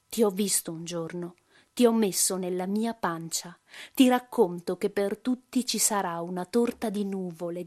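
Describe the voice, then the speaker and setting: A woman acting a lone mother who speaks to a child in a theatrical radio monologue, in short, repeated, measured phrases. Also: mid-range voice, a little mellow, close to an everyday voice. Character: lone woman gently telling a child about death.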